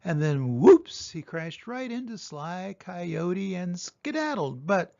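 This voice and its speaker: Animated older man reading a storybook. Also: high-pitched, slow, creaky, no room sound, highs cut off. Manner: expressive, dramatic emphasis on sound words. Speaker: storyteller reading aloud to children. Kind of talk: animated children's storybook narration.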